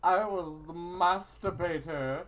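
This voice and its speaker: A woman's voice: lowish voice, slow pace. A woman casually reading a visual novel aloud as she plays, theatrical and giggly, with crude improvised jokes. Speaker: host of a visual novel playthrough. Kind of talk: casual playthrough narration, improvised crude joking.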